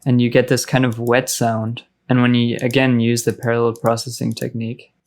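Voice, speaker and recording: Flat-toned man explaining, noisy recording. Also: medium pitch, moderate pace. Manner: informal, explanatory. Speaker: music production tutor. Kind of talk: casual software tutorial narration.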